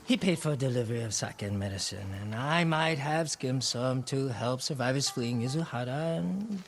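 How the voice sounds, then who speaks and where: An actor playing an excitable, roguish sake merchant and hapless schemer in a video game cutscene, wheedling and theatrical. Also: male voice, mid-range voice, normal speed, vocal fry, slight background noise. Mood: sheepish.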